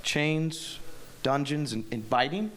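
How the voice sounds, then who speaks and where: A man voices a wisecracking teenage boy who laughs things off. His voice sits a little high. It is read aloud at a live table read. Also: expressive, slow pace, a bit bright. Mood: flippant. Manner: joking, flippant.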